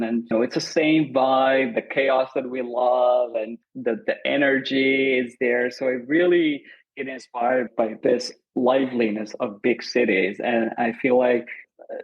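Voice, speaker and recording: A man in his thirties with an Iranian accent, earnest and reflective, who often doubles his words for emphasis. His voice is breathy and a little husky and sits at an ordinary middle pitch. The podcast audio is muffled and lo-fi. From Iran. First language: Azerbaijani Turkish, Kurdish and Farsi. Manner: thoughtful, earnest, repeats words for emphasis, laughs easily. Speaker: lighting designer, guest. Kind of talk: podcast interview.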